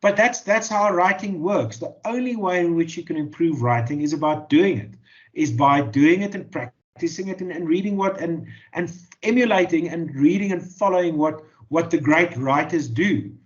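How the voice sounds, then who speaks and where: A man's voice: fairly high pitch, moderate pace. South African man, hesitant and thoughtful. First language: Afrikaans. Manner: thoughtful, many fillers and repeated words. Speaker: journalist and author. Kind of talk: interview answers. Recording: dull lo-fi audio.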